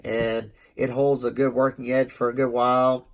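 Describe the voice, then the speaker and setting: A man's voice: mid-range voice, flat delivery, moderate pace, creaky. A man with a congested, nasal voice casually reviewing a knife in a vlog, rambling a bit and talking straight to his viewers. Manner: rambling, loose, self-correcting, speaks to viewers directly.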